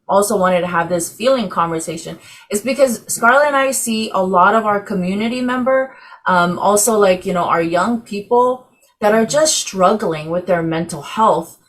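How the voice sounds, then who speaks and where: A woman's voice: mid-range voice, moderate pace, low-quality recording. A woman from Samoa leading a casual class discussion over a video call, chatty, with many fillers. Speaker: class facilitator.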